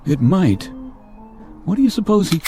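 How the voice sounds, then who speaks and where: A protective, commanding captain in a radio play. His agitated, earnest delivery moves freely up and down in pitch, with music clearly playing behind him. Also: sing-song, moderate pace, warm tone. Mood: shaken, puzzled. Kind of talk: dramatic radio play acting. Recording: radio drama with background music.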